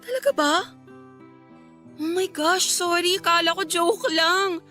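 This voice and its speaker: An actress playing a shy, sweet young provincial office worker in a radio drama, expressive and girlish. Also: very high voice, slow, soft background music, full character voice. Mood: stunned, giddy. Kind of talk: Tagalog radio drama acting.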